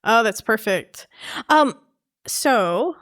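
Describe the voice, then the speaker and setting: A woman hosting a casual podcast interview, enthusiastic and quick to agree with her guest. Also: fairly high pitch, lively intonation, fairly bright tone.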